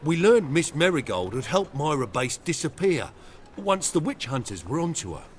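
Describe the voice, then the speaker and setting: A man's voice: a little high, very animated, moderate pace, a little hiss or hum. An actor playing a meek, deferential valet to a noble lady in a video game, with a servile, folksy way of talking. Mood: earnest, worried. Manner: servile, folksy, dropped words. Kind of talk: video game dialogue acting.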